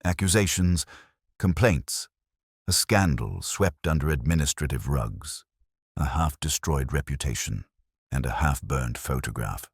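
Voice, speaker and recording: Very deep, measured male storyteller. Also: expressive, husky, no room sound, clean studio sound. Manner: measured, solemn, literary delivery. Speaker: narrator. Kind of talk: scripted first-person detective story narration.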